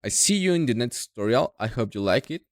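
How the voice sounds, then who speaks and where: A young man from Mexico with a Mexican Spanish accent, speaking halting non-native English as he walks through a software tutorial step by step. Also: medium pitch, expressive, no echo, clean studio sound. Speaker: tutorial creator. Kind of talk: screen-recorded software tutorial.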